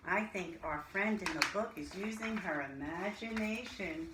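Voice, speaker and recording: A woman teacher reading and explaining to young children in simple, deliberate language with questions. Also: mid-range voice. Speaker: early-grade teacher reading a picture book aloud to children. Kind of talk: storybook read-aloud and children's lesson. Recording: roomy echo, clear background noise.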